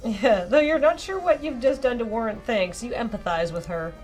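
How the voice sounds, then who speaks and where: Higher-voiced woman streamer with music behind. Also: animated, moderate pace, a little mellow. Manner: playful, giggly. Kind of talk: casual game stream, reading dialogue aloud.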